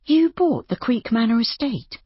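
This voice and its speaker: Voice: mid-range voice, a little fast, husky, dry recording, highs cut off, pitched above the performer's own voice, close to an everyday voice. A narrator voicing an anxious, kindly young innkeeper woman in audiobook dialogue, earnest and reassuring. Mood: surprised.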